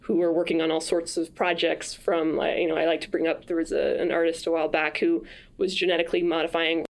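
Woman from France, thoughtful and halting. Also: low voice, little pitch movement, gravelly. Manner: thoughtful, many fillers and restarts. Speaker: podcast guest. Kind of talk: casual conversational interview.

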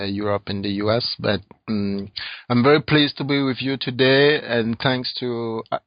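A gravelly-voiced man from Cameroon hosts a casual interview, halting through restarts and fillers. His voice sits in a middle register. The recording is dull and dry, with no room around it. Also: fairly low pitch, no room sound. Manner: hesitant, many restarts and fillers. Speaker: podcast host. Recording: muffled lo-fi, dry.

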